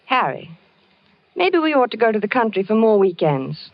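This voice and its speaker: An actress playing a patient, worried wife who narrates in a 1940s radio drama, soothing and gently probing. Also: female voice, a little high, very animated, normal speed, no echo. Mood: coaxing. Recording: dull lo-fi old radio broadcast with music.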